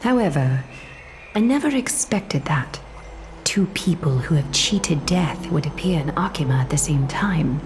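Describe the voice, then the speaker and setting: Low-voiced, solemn woman leader. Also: expressive, a little mellow, slight background noise. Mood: grave, composed. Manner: measured, formal, grave. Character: solemn, composed woman leader burdened by ancient duty. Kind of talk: dramatic video game voice acting.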